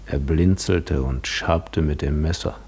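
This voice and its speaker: An actor playing a weary, despairing wanderer telling his story in a brooding, halting, husky voice for a 1950s German radio drama. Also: very deep voice, a little mellow, exaggerated voice. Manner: brooding, halting, literary narration.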